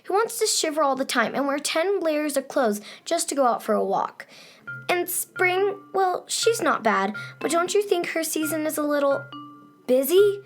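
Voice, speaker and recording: High-voiced, sunny, boastful young girl. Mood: snide, coaxing. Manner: breezy, boastful, gushing. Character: sunny, self-satisfied young girl who rules summer. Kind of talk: children's radio drama acting.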